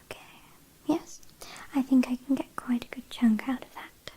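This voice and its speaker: A British woman playing an ancient, possessive, haughty vampire in a whispered ASMR roleplay, speaking softly with quiet menace and condescension. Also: high voice, steady tone, moderate pace, low-quality recording. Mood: teasing, mocking. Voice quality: soft breathy whisper.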